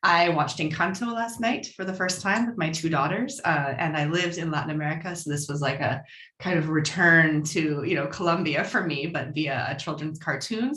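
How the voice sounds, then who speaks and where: A middle-aged woman, a senior research fellow, introducing herself at a roundtable with a warm, enthusiastic manner and some restarts. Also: low-pitched, roomy sound.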